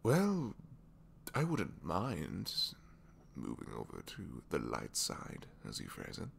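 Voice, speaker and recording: A man's voice: deep voice, huge pitch swings, slow pace, gravelly, a little hiss or hum, exaggerated voice. A man playing a reluctant, secretly kind supervillain inventor in an ASMR roleplay, hesitant, wry and self-deprecating, rambling and sometimes dropping toward a whisper. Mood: weary, wry. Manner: hesitant, wry, rambling, trailing tag questions, sighs, drops to whispers. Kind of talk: ASMR roleplay audio drama, one-sided conversation.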